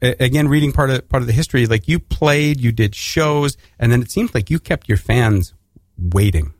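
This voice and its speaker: Canadian male radio host, middle-pitched, dry close studio sound, rambling with restarts. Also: moderate pace, dry recording. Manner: rambling, many restarts and fillers. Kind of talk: casual radio interview.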